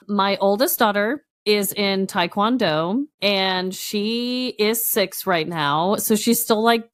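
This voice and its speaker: Female podcast co-host, mid-range voice, casual storyteller, self-mocking, laughs while talking, acts out quotes. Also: mid-range voice. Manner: animated retelling with acted-out quotes, self-deprecating. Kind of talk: casual chat, personal storytelling.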